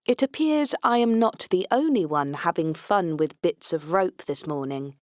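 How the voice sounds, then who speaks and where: A woman's voice: lowish voice, lively intonation, moderate pace, darkish tone, no echo, studio quality. A woman reading a science fiction comedy audiobook aloud in the first person, with a wry, tongue-in-cheek delivery. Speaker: audiobook narrator.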